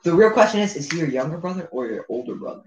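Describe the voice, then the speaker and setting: A young man with a slightly high voice chats on a livestream as co-host. He teases in casual slang, and the room around him rings with clear echo. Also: big pitch swings, racing, a little hiss or hum. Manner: teasing, slangy banter. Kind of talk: casual chat. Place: living room at a table, indoors. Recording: echoey room.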